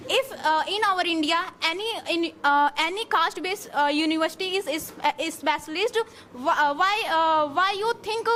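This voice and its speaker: A woman's voice: extremely high pitch, moderate pace, pushed and bright, slight background noise. A woman in a classroom debate who makes her point hesitantly, filling the gaps with "uh". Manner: hesitant, many "uh". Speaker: debate participant.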